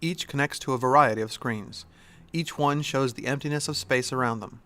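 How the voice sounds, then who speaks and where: A man's voice: medium pitch, normal speed, pushed and bright. A man reading scripted narration for an audio drama, telling the scene in the present tense.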